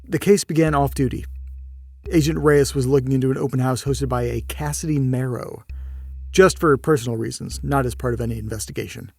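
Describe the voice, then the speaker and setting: An actor playing a polite field agent who reports on cases in a measured, slightly tentative way in an audio drama. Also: male voice, medium pitch, creaky, husky, dry recording, soft background music. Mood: measured, reporting.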